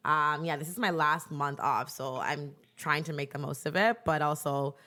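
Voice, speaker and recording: A young woman co-hosting a casual podcast, telling animated stories with lots of "like" fillers. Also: deep voice, moderate pace. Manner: animated storyteller, many "like" fillers. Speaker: podcast co-host; teacher. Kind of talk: casual chat.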